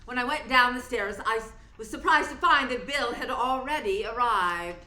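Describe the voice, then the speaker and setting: A proud middle-aged theatre star, played by a woman, sardonic and jealous, in a radio drama. Her voice is forceful and on the high side, recorded in an echoey room. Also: normal speed. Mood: wry narration and sarcasm. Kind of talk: radio play acting. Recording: echoey room with faint noise.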